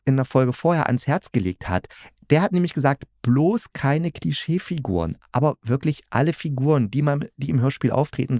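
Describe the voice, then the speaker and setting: A man's voice: mid-range voice, a little mellow, no echo, clean studio sound. A man hosting a podcast review, opinionated and emphatic, with colloquial, sarcastic phrasing. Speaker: podcast host and audio drama critic.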